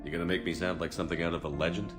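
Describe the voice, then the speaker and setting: A man playing a confident, gruff military commander in a video game cutscene. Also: deep voice, steady tone, racing, over loud music, lightly acted. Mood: earnest. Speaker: squad commander.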